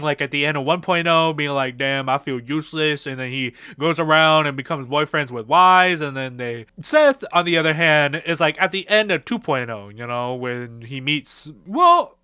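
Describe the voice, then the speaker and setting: A man's voice: fairly high pitch, sharp tone, clean tone, dry recording, studio quality. A man hosting his own channel, rambling through casual, slangy fan commentary and acting out bits of imagined dialogue. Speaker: video creator and channel host.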